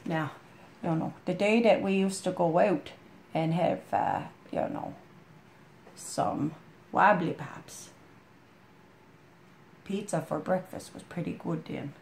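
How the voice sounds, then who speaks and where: Brief: hoarse woman with a gravelly voice and lively pitch, rambling and folksy, faint hiss behind. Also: takes it slowly, long pauses. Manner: rambling, folksy, full of "you know". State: hoarse from a chest cold. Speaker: storytime vlogger. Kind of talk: casual heartfelt chat to a friend.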